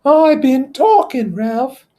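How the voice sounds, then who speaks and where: Storybook schoolboy, played by a man: high, animated, insistent, indignant organizer with clumsy grammar. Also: slow pace, heavily acted. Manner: ungrammatical, insistent speech. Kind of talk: character voice in novel read-aloud.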